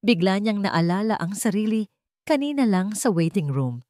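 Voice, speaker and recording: A woman reading a scripted romance drama in a solemn, emotive storytelling manner with deliberate phrasing. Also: medium pitch, big pitch swings, darkish tone, no room sound, clean studio sound. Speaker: narrator.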